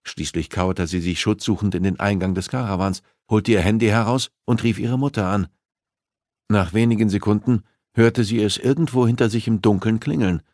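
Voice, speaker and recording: A man's voice: lowish voice, normal speed, darkish tone, dry recording, clean studio sound. A man reading a suspense novel aloud as scripted, dramatic audiobook narration.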